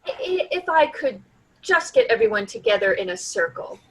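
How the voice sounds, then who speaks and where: A woman playing a prim, flustered grief counselor in a staged dark comedy, speaking politely and formally with hesitations. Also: sits high, animated, normal speed, creaky, rough recording. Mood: placating.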